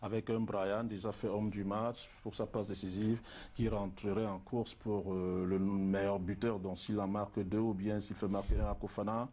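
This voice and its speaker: A man from Cameroon giving football predictions in relaxed studio talk, hesitant and full of fillers and asides. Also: lowish voice, normal speed. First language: a Cameroonian language. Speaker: football pundit.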